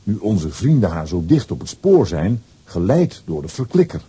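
A man narrating a scripted children's audio story with a husky voice and wry asides. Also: lowish voice, very animated, moderate pace, dark tone.

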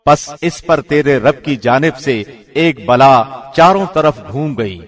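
A man reading a scripture translation from a script in a formal, measured radio-announcer manner. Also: mid-range voice. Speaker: translation reader, radio presenter.

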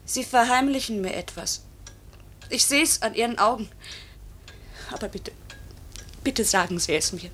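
An actress playing a pilot's anxious wife, pleading and accusing in urgent questions, in 1950s German radio drama style. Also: female voice, on the high side, animated, measured pace, pauses often, a bit bright, slight background noise. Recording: 1950s radio play recording.

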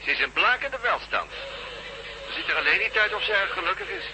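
A man's voice: high-pitched, wildly swooping pitch, a little fast, background noise, heavily acted. A man playing a smug, mockingly polite hostage-taking sheriff in a radio drama, heard down a telephone line.

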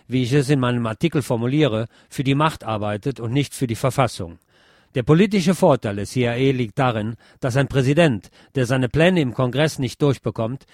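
Male narrator, medium pitch, scripted read, dry and close sound. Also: no room sound. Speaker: narrator reading a translated interview. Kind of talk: scripted narration, reading aloud.

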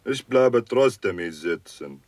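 An actor playing a cautious, slangy sidekick in a 1960s radio crime drama, speaking in an offhand, laconic way. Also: male voice, on the low side, lively intonation, takes it slowly. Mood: wary. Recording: clearly noisy background ambience.

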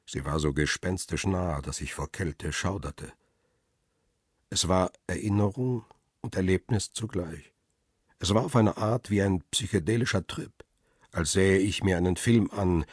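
A man narrating a radio crime drama in the first person, calm and reflective, with a husky voice. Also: deep voice, unhurried, no room sound, studio quality.

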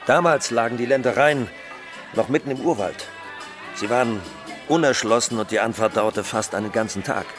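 An actor playing a self-assured drug lord in a German radio drama, speaking in a casual, measured way. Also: male voice, mid-range voice, music in the background, natural voice. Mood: nonchalant, proud. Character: self-assured male drug lord recounting his estate.

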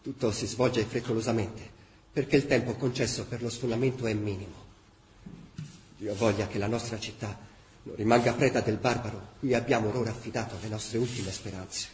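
A male actor playing a troubled diarist who witnesses his occupied city, reading solemnly and deliberately in a radio drama. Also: fairly low pitch, fairly even intonation, a little hiss or hum, lightly acted. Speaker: diary reader in a radio drama. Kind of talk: dramatic reading of a wartime diary. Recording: roomy, echoing radio theatre sound.

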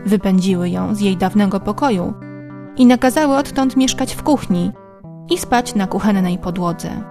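A woman's voice: medium pitch, normal speed, warm tone. A woman storyteller reading a scripted fairy-tale narration. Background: plucked guitar music.

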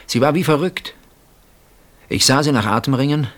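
An actor playing a bitter, sardonic older husband who has been betrayed, speaking with brooding pauses in a German radio play. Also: male voice, medium pitch, lightly acted. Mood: brooding confession.

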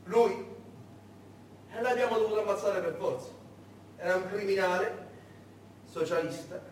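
A man's voice: high-pitched, normal speed, close to an everyday voice. A man playing a menacing wartime interrogator who cajoles and threatens in a theatrical monologue. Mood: coaxing menace. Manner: cajoling, threatening, building to shouting. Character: menacing interrogator, falsely friendly then enraged, wartime soldier. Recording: very echoey stage, distant mic, faint noise.

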